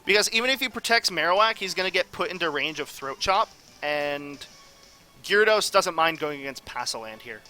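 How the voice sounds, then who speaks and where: A man's voice: on the high side, lively intonation, a little fast, bright, forceful tone, faint music behind. A man giving casual, off-the-cuff commentary on a game as host, rambling and analytical as he thinks aloud. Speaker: gaming video host.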